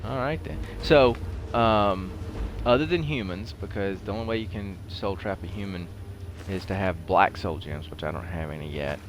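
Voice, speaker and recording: Deep-voiced man chatting over a game. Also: normal speed, a little hiss or hum. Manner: rambling, talks to himself. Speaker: gaming video host. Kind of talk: casual game commentary while playing.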